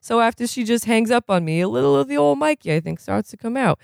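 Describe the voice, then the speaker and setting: A reader putting on a profane, rambling man in recovery who is quick to rage and keeps asking if you know what he's saying. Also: medium pitch, animated, creaky, no echo, clean studio sound, voice pushed up. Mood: heated. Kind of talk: reading a novel aloud, putting on a character.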